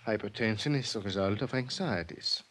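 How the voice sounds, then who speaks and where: Bright-voiced, courteous Viennese psychiatrist. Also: male voice, on the low side, expressive. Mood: measured, guarded. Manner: courteous, measured, hesitant. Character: small, polite, cautious Viennese psychiatrist. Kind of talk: 1950s radio drama acting.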